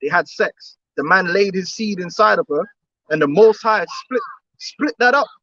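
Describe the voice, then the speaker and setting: A man's voice: high-pitched, lively intonation, normal speed, bright, forceful tone, no room sound, dull audio. A man preaching outdoors on the street, emphatic and repetitive, often laughing between his points.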